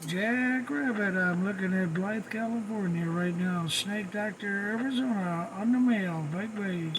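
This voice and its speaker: A man's voice: high-pitched, a little slow, background noise. A man making folksy, repetitive CB radio calls in slangy radio lingo. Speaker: radio operator making calls to other stations. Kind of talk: CB radio calling chatter.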